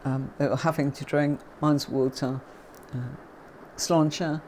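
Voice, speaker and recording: A woman's voice: deep voice, fairly even intonation, measured pace, darkish tone, slight background noise. An English woman from Yorkshire hosting a tarot livestream, chatting with viewers and teaching card meanings, with many fillers and a neutral accent. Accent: neutral southern English, faint Yorkshire. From Yorkshire, England.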